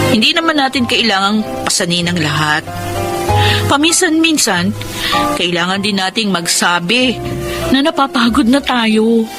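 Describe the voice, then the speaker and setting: An actress playing a weary, reflective breadwinner, narrating her life in a dramatic radio style. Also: on the low side.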